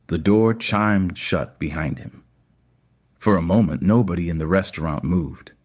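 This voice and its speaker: A man's voice: low-pitched, unhurried, a little mellow. A man narrating a scripted audio story.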